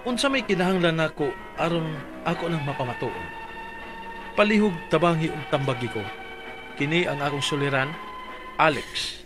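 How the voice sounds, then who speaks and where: A man's voice: on the high side, expressive, moderate pace, pauses often, over music. A man reading out his dramatized complaint letter for a radio advice show, earnest and pleading.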